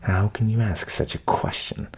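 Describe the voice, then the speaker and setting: A man's voice: on the low side, fairly even intonation, normal speed, a little hiss or hum, voice pushed down, close to an everyday voice. A man voicing a naive, cheerful, trusting young man in a storybook, with earnest exclamations. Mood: eager.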